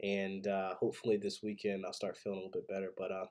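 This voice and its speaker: A man's voice: low-pitched, monotone, fast talker, darkish tone. A man with a congested, head-cold voice hosting a solo sports podcast, giving opinionated commentary in a conversational way. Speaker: podcast host. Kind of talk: solo football commentary and predictions.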